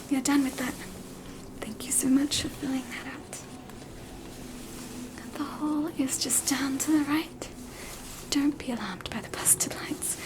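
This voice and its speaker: A woman with an Australian accent playing a polite, reassuring spooky hospital doctor in an ASMR roleplay, in a soft breathy whisper. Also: high voice, background noise. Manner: reassuring, unhurried.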